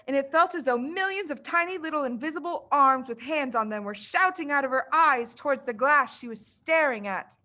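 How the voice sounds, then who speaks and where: A woman reading a children's storybook aloud as dramatic narration, with deliberate, suspenseful phrasing. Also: high voice, sharp tone. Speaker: audiobook reader.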